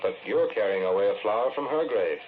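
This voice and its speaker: An actor playing a sceptical, plain-spoken escort in a 1940s radio drama, with a blunt, terse, questioning delivery. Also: male voice, fairly low pitch, animated, fairly quick, narrow, tinny audio, lightly acted. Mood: sceptical, probing. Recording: thin, noisy 1940s radio recording.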